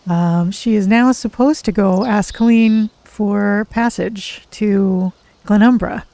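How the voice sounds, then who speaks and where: A game streamer with a soft-toned, mellow, mid-range voice gives a casual story recap. The delivery is thoughtful and a little hesitant, addressed to viewers. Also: mid-range voice, no room sound.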